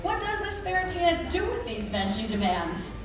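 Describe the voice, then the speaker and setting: A woman's voice: very high-pitched, sing-song, moderate pace. A woman playing a practical, wry young adventurer in a live radio play. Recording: echoey hall, distant mic.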